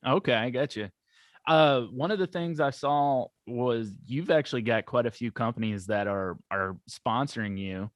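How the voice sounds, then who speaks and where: A man's voice: medium pitch, moderate pace, no room sound. Chatty male podcast host, dry sound. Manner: friendly, chatty questions, many affirmations. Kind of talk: casual podcast interview.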